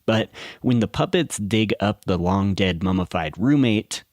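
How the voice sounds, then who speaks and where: A man's voice: lowish voice, animated, a little slow, a little mellow, no room sound, studio quality. A man narrating a scripted audio documentary.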